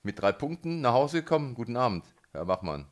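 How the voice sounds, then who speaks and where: Medium-pitched male radio sports interviewer. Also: big pitch swings, brisk.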